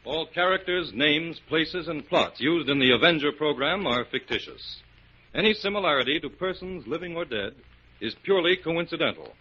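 A man's voice: a little high, lively intonation, moderate pace. A male announcer reading a script in formal 1940s radio style. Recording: muffled 1940s radio, loud music behind.

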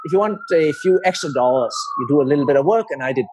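A middle-aged man with a Danish accent, telling his story as an interview guest in measured, explanatory phrases. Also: fairly high pitch, animated, a little mellow, a little hiss or hum, rough recording. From Denmark.

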